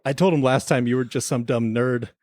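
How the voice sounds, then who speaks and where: A man's voice: mid-range voice, fairly even intonation, brisk, a little mellow, no echo. Man hosting a comic podcast drama, dry sound. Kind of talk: conversational comic radio drama.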